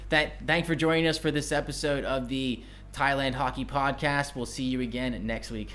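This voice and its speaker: A man's voice: medium pitch, steady tone, moderate pace, over loud music. A man hosting a podcast, chatting casually and thinking aloud as he rambles. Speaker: podcast host. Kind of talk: casual chat.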